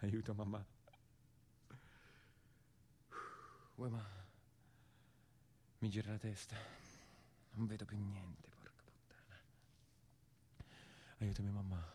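An actor playing an embittered imprisoned peasant brigand in a theatrical monologue, speaking emphatic, repetitive dialect with a southern Italian accent. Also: male voice, on the low side, level tone, unhurried, pauses often, dark tone, slight background noise, close to an everyday voice. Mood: faint, dazed.

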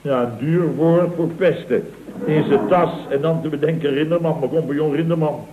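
A man's voice: on the high side, normal speed, natural voice. A man playing a scheming, self-pitying boss of a building firm in a radio comedy drama, theatrical and wheedling. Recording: dull old radio recording with hiss.

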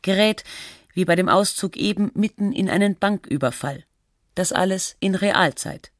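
A documentary narrator reading a scripted feature in a measured, matter-of-fact, scholarly way. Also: mid-range voice, no room sound, clean studio sound, natural voice.

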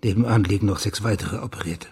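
An actor playing a sceptical, probing senior police official in a radio crime drama, with a dry, guarded manner. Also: male voice, lowish voice, fast, darkish tone, husky. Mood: placating.

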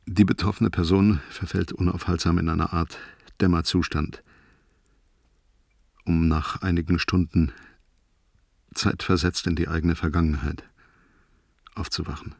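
A man's voice: low-pitched, fairly even intonation, measured pace, creaky, long pauses, no room sound, studio quality, exaggerated voice. An actor playing a weary older crewman dictating a grave spaceship log in a radio play. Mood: grave, factual. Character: weary oldest crewman logging a spaceship emergency.

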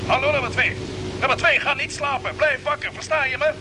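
An actor playing a brisk, commanding captain of a Mars expedition in a vintage radio drama. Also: male voice, very high voice. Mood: alarmed. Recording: noisy old radio play recording.

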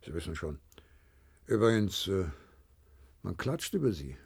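Patronizing office boss, animated delivery. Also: male voice, low-pitched, unhurried, creaky, breathy edge, heavily acted. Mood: patronizing, probing. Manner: hesitant hemming, patronizing, pointed. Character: patronizing male office boss, skeptical and probing. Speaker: radio drama actor. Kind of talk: German science-fiction radio play acting.

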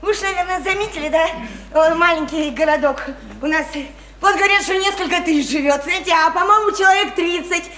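A woman's voice: very high-pitched, a little fast, bright, forceful tone. An actress in a radio drama playing a naive, sickly, chatty small-town woman who rambles on in simple, insistent folksy speech. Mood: chatty, artless. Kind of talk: stage-style radio drama acting. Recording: roomy echo, faint noise.

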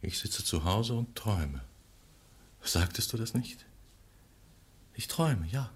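Brooding young poet, low-pitched, highly animated melody, theatrical, intense, vintage radio drama. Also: male voice, takes it slowly, breathy edge, exaggerated voice. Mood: tender, dreamy. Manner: theatrical, intense, swinging between hushed and urgent. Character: passionate, brooding young male poet pleading with his lover. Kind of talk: 1950s German radio play acting.

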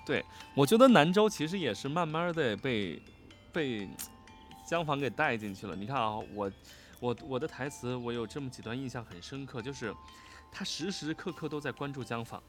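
A man's voice: mid-range voice, lively intonation, normal speed, soft background music. A man chatting casually as a voice actor and co-host, agreeable, often repeating short words of agreement. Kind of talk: casual chat about an audio drama.

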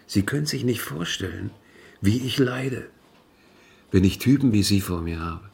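An actor playing a corrupt, self-pitying official who muses and wheedles in a German radio drama. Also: male voice, fairly low pitch, animated, vocal fry, darkish tone, slight background noise. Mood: self-pitying, rapturous. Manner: musing, slow, wheedling.

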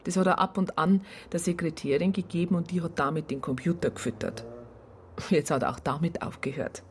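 An actress playing a matter-of-fact woman reporting on a colleague in a radio drama, with a husky voice and a dry manner. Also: fairly even intonation, a little fast, a little hiss or hum, lightly acted. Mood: resigned. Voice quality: husky, low-pitched.

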